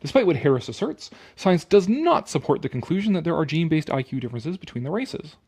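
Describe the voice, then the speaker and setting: A man's voice: fairly high pitch, sing-song, fast, a little mellow, slightly rough. A man reading an article aloud with casual, sarcastic commentary, blunt and dismissive, prone to swearing. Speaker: online commentator.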